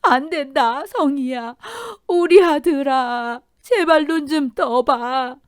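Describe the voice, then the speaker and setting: Woman playing older mother-in-law, grief-stricken, fierce, high strained voice, pleading tone. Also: slow pace, no room sound, clean studio sound. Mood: wailing. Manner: wailing, pleading, high-strung. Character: grieving elderly mother-in-law, desperate and fierce.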